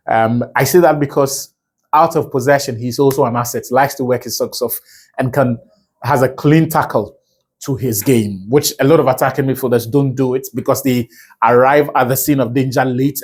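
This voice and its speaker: A man from Ghana giving a solo football analysis video, fluent and chatty, with asides and quick self-corrections. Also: mid-range voice, a little mellow.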